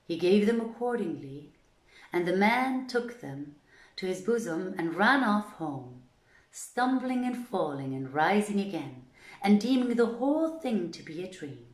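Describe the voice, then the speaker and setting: A woman reading a literary tale as a storyteller, with measured, dramatic and deliberate phrasing. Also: mid-range voice, sing-song, reverberant. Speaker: storyteller, reader.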